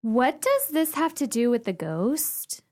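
Inquisitive, animated lady, fairly high. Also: slow, exaggerated voice. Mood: curious. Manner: inquisitive, theatrical. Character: curious, easily astonished female listener to a ghost tale. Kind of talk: radio play dialogue.

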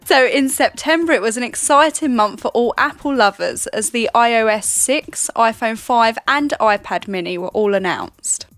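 A woman's voice: a little high, expressive, normal speed, hardly any pauses, fairly bright tone, no room sound, soft background music. A woman co-presenting a tech show, with dry, wry humour in her banter.

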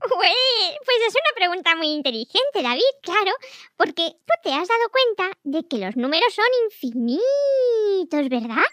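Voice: extremely high pitch, sing-song, moderate pace, bright, forceful tone, dry recording, full character voice. A performer voicing a small, eager, childlike underwater creature who explains things with exclamations on a children's podcast. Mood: cheerful, eager. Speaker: children's show co-host. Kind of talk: scripted children's podcast chat.

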